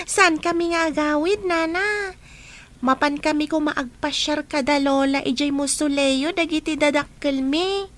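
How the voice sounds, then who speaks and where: A woman's voice: sits high, steady tone, a little slow, fairly bright tone, slight background noise. A woman with a Filipino accent playing a polite, eager young village girl in a radio comedy drama.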